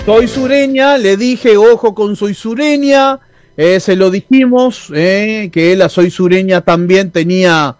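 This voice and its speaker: A high-pitched Uruguayan man hosting a radio program, chatty and peppered with fillers. His voice sits close and dry on the studio mic, with faint music underneath. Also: takes it slowly, dry recording. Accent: Uruguayan Spanish. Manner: chatty, many "eh" fillers and restarts. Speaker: radio host. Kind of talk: casual radio chat reading listener messages.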